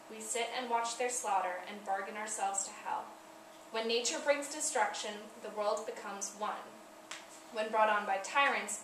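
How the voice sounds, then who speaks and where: A woman declaims verse in a mid-pitched voice with earnest delivery. The sound is roomy and echoing, with clear noise behind her. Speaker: poetry reader. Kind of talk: poetry recitation. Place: echoey indoor event room, far from mic. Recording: distant mic, echoey room, loud background noise.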